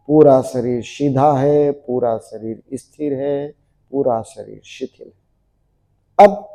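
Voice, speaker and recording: A man leads a guided relaxation for a group. His voice is mellow and sits a little higher than average. He speaks very slowly, giving measured instructions and repeating key phrases. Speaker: yoga nidra teacher.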